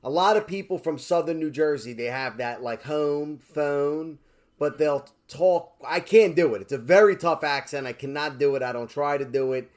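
A man's voice: fairly high pitch, normal speed. A young man with a Brooklyn accent, an actor chatting as a guest with rambling fillers and restarts. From Brooklyn, New York. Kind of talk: casual interview chat.

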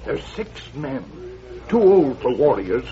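An actor playing a loyal, stoic scout companion in an old radio western, speaking in terse broken English. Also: male voice, mid-range voice, sing-song, unhurried, dark tone. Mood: low, watchful. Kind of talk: 1940s radio adventure acting. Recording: muffled old radio recording, faint noise.

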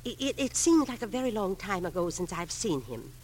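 A woman's voice: medium pitch, sing-song, brisk, darkish tone, a little hiss or hum, lightly acted. A woman playing a genteel, fussy, proud elderly widow in 1950s radio drama, hesitant and overly precise. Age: older.

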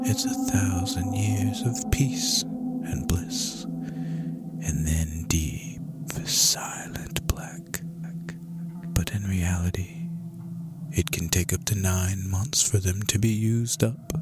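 A man playing an ancient, hungry vampire creature in a horror story, with a breathy rasp and a slow, menacing, theatrical delivery. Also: sits low, pitched below the performer's own voice, exaggerated voice. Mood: menacing hunger. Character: ancient predatory vampire creature, sinister, hungry, contemptuous of humans. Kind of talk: dramatic horror story reading. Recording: loud music under the voice.

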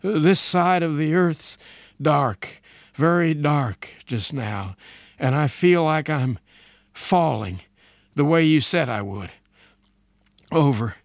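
Haunted young astronaut acted by a male narrator: high voice, hesitant, unnerved, for a science fiction story. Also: lively intonation, slow, long pauses, no room sound, clean studio sound. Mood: uneasy, hesitant. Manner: hesitant, soft-spoken.

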